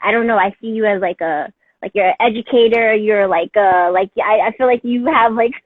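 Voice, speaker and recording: A woman's voice: mid-range voice, brisk, no echo. A woman in her thirties chatting casually as interviewer, effusive and encouraging, with lots of fillers. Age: 30s. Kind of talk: casual chat. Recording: tinny phone-like dry sound.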